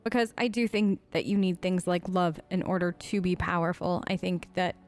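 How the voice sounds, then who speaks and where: A woman's voice: fairly low pitch, steady tone, normal speed, a little mellow, soft background music. A woman hosting a role-play let's play, chatting casually in character in an earnest, playful way. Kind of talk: casual in-character game commentary.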